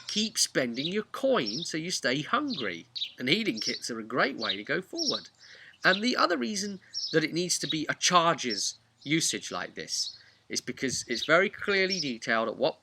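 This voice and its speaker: A man giving an informal, animated, chatty explanation of tabletop roleplaying rules. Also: medium pitch, noisy background. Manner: animated, chatty, acts out imagined player remarks. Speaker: tabletop game master giving advice.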